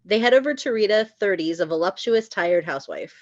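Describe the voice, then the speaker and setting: A woman's voice: medium pitch, animated, brisk, dry recording, dull audio. A woman narrating screenplay stage directions at a table read.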